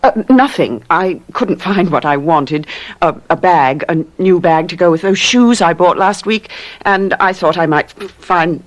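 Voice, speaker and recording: An actress playing a devoted, desperate wife in a radio drama, in an earnest, theatrical manner. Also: female voice, lowish voice, a little slow, close to an everyday voice. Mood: nervous, evasive. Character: devoted, desperate wife, composed yet pleading.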